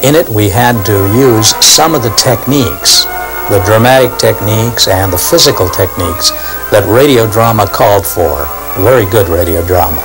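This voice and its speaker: Older guy looking back on radio days, low pitched, explains things slowly and carefully, faint music. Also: low-quality recording. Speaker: former radio actor and producer. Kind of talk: reminiscing documentary commentary.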